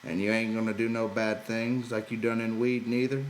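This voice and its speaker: Irritable working man, done by a male reader: low, brusque, bossy, rustic dialect, audiobook character in a roomy recording. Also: little pitch movement, moderate pace, lightly acted. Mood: disgusted. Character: gruff, irritable, bossy male ranch hand. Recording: roomy echo, background noise.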